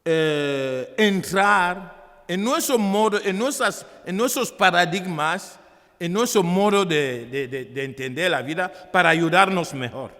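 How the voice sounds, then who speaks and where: High-voiced man in an echoey hall. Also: lively intonation, sharp tone. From Africa. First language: not Spanish. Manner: emphatic, halting, repeating phrases. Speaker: priest and author presenting a book. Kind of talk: public talk, preaching and persuasive. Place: hall at a book presentation. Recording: echoey hall with long reverb.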